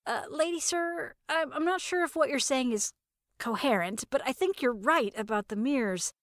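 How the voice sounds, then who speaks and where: A nervy young woman acted by a female narrator with a fairly high voice, close and dry. She rambles in slang, wry and incredulous, as a character in an audio drama. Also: normal speed, no room sound. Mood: hesitant, uneasy.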